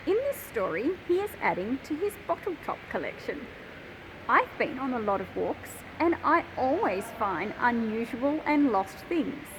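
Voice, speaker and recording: Children's book presenter, female, 30s. Animated, higher-pitched, expressive explaining, recorded on a phone amid clear ambient noise. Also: measured pace. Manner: expressive, addressing children directly with emphatic phrasing. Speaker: host of a children's picture book channel. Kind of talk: explanatory presenting to young readers. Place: large industrial hall with old machinery.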